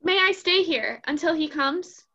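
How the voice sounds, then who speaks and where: A naive, gushing young lady, acted by a young woman for a stage comedy. Her girlish voice is very high and sharp, eager in delivery, over slightly muffled video-call sound. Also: expressive, a little slow, full character voice. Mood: eager, pleading. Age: young adult. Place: indoors at home, video call.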